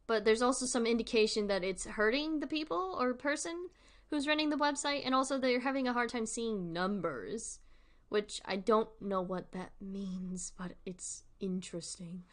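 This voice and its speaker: A woman's voice: fairly high pitch, normal speed. A woman hosting a livestream with casual, informal commentary, rambling and thinking aloud as she goes. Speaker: livestream host.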